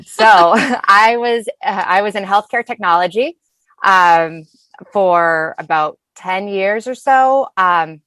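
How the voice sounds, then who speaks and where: A woman's voice: medium pitch, slow, fairly bright tone, no echo. An American woman from Massachusetts chatting casually as a podcast guest. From Massachusetts, United States. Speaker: podcast guest, food blogger. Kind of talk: casual chat. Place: closet.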